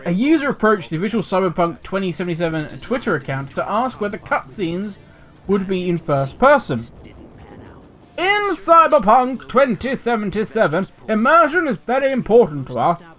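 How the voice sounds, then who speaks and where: A man's voice: high-pitched, moderate pace. A man hosting his gaming channel, chatting casually and reading a news article aloud with self-mocking asides. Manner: animated, self-mocking, jumps between loud asides and quiet mutters.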